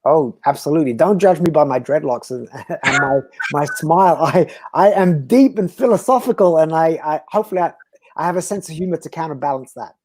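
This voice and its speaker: A man from Belgium, a guest speaker talking earnestly and philosophically in slow, emphatic phrases. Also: on the high side, a little mellow, slight background noise. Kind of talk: reflective conversational talk.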